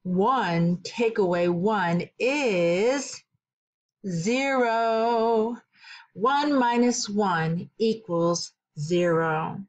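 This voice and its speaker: A middle-aged woman, a kindergarten teacher, reading a story aloud and teaching counting to young children in simple, deliberate phrases with questions. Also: medium pitch.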